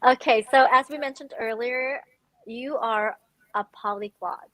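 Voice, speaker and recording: A woman hosts the interview, her questions tumbling out eagerly with restarts and rephrasing. Her voice sits a little high, and the sound is dry and close. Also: a little slow, fairly bright tone, no room sound, rough recording. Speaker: host.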